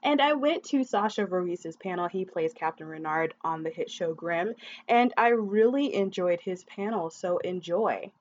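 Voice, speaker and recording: A woman giving a friendly quick introduction to a recorded panel clip. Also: lowish voice, animated, highs cut off, background noise. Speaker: video blogger introducing a clip. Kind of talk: casual intro to camera.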